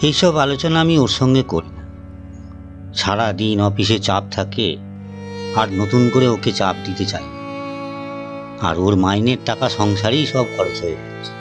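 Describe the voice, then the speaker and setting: A man's voice: on the low side, animated, a little slow, pauses often, music in the background. A man playing a worried, considerate adult son in a cartoon story, talking in an earnest, troubled way. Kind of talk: Bengali cartoon story acting.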